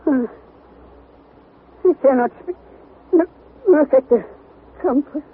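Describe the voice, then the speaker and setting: An actor playing an excitable, obsessive older German professor in a theatrical 1960s radio drama style. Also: male voice, extremely high pitch, takes it slowly. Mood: shocked. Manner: theatrical, declamatory, exclaiming. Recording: tinny, noisy vintage radio recording.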